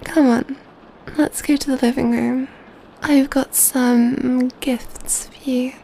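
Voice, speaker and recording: A woman playing a gentle, doting female vampire in an ASMR roleplay, speaking softly and tenderly into a close mic, often near a whisper. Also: high voice, fairly even intonation, slow pace, gravelly, slight background noise, heavily acted, poor audio. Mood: tender, playful. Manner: tender, cooing, soothing, often dropping to whispers. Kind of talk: ASMR roleplay, soft intimate speech.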